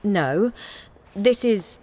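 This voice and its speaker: An actress playing a frank, confident theatre director in a radio drama. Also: female voice, mid-range voice, lively intonation, very slow, darkish tone. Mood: firm, businesslike. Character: frank, confident woman theatre director leading her troupe. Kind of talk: naturalistic radio play acting.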